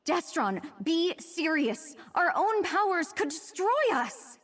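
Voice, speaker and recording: A woman's voice: very high-pitched, big pitch swings, slow, fairly bright tone, exaggerated voice. A young woman playing an anxious, protective twin sister in a fantasy video game, earnest and cautious. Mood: alarmed, pleading. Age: young adult.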